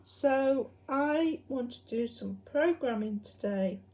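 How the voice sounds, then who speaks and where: A woman presenting a hobby project in an informal, unscripted demonstration, explaining things in a hesitant, halting way. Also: high voice, lively intonation, a little mellow.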